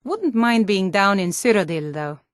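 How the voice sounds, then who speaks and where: An actress playing a gruff, wary Nordic female guard and townswoman in a fantasy video game, speaking bluntly with a Nordic accent. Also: mid-range voice, animated, moderate pace, dry recording, clean studio sound, lightly acted. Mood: wry, weary.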